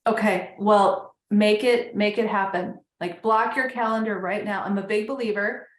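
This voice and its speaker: A woman's voice: mid-range voice, fairly even intonation, moderate pace, echoey room. A woman hosting a podcast, chatting casually as she interviews a guest. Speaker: podcast host.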